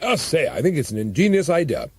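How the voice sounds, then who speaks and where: A man's voice: a little high, very animated, fairly quick, slightly rough, no room sound. Man putting on a hearty, tactless army officer. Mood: hearty, obliging. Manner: hearty, clipped upper-class military phrasing. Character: affable, obliging British army officer, blithely tactless.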